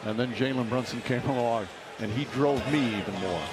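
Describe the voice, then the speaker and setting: A man's voice: medium pitch, a little fast. A man doing live basketball play-by-play commentary in clipped phrases. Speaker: play-by-play announcer. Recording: loud arena crowd and noise.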